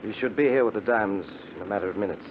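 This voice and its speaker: A man's voice: on the low side, rapid-fire, natural voice. A man playing a stern, authoritative ship's captain in a 1950s radio drama, formal and firm. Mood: grudgingly firm. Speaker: radio actor. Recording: muffled, slightly noisy 1950s radio broadcast.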